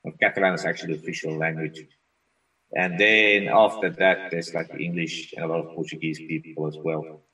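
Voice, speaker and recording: A man whose first language is Afrikaans, a software consultant talking as a guest on a remote interview in a relaxed, rambling way. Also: very deep voice, a little fast, far from the mic, rough recording. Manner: relaxed, rambling with frequent restarts. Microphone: video call.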